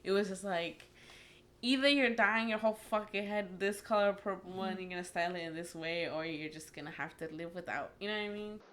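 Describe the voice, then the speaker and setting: A woman's voice: medium pitch, brisk, a bit bright. A young American woman in casual, candid conversation, talking in an informal and blunt way. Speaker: anonymous testimony participant.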